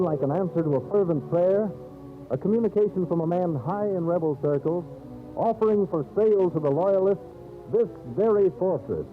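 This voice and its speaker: A man narrating a scripted 1930s radio drama in a solemn, theatrical, measured storyteller's manner. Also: fairly high pitch. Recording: old scratchy 1930s radio, narrow phone-like band. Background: dramatic background music.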